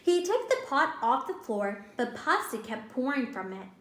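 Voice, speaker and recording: A woman's voice: high voice, sing-song, normal speed, a bit bright. A woman reading a picture book aloud to young children as a teacher, expressively, stopping to ask questions and explain. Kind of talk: animated storybook read-aloud to young children. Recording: roomy, echoing indoor sound.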